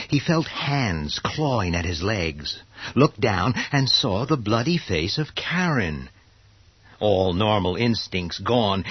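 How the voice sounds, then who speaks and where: A man narrating a horror radio play in a husky voice, theatrical, with deliberate dramatic pauses. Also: medium pitch, no echo, lo-fi sound.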